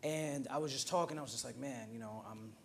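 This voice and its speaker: A man's voice: mid-range voice, brisk, vocal fry, noisy background. A man in his twenties with an American accent, telling personal stories casually at a roundtable discussion. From Oakland, California, USA. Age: 20s. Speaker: roundtable participant. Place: indoor roundtable discussion at a museum.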